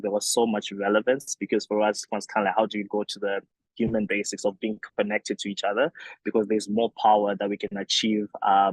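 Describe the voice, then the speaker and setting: A man's voice: lowish voice, husky, no room sound, poor audio. A young man from Zimbabwe, a company founder, answering interview questions thoughtfully with many fillers and restarts.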